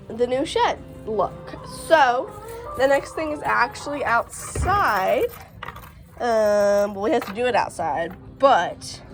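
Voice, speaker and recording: A woman, stuffy from allergies, chatting casually and excitedly in a farm vlog recorded outdoors by a shed. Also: high-pitched. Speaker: farm vlogger.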